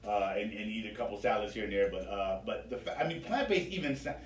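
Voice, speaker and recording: A man's voice: on the low side, lively intonation, fast talker. A man hosting a radio interview in casual, jokey, slangy conversation. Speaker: radio host. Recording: echoey room, distant mic, faint noise.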